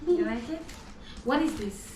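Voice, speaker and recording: A woman from Nigeria unboxing gifts at home in a casual vlog, excited and often gasping, softly talking to herself. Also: sits high, measured pace. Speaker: vlogger. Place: home in Dubai. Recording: echoey room with clear background noise.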